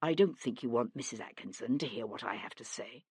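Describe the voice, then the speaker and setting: A woman voicing a young gentleman investigator in an audiobook, sardonic and hard-edged, with a clipped manner. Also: very deep voice, expressive, fairly quick, slightly rough, dry recording, clean studio sound, pitched below the performer's own voice, heavily acted. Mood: cold anger.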